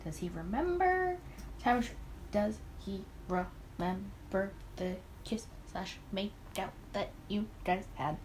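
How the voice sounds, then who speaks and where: Female gaming host, casual and expressive in intonation, light noise on the recording. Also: medium pitch, takes it slowly, poor audio. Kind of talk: casual playthrough commentary, reading game text aloud.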